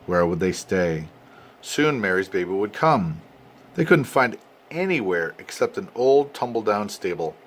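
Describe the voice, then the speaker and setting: An older man, a grandfather, reads a storybook aloud to his family expressively, with dramatic pauses and emphasis. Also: lowish voice, big pitch swings. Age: 60s or older. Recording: noisy amateur home recording.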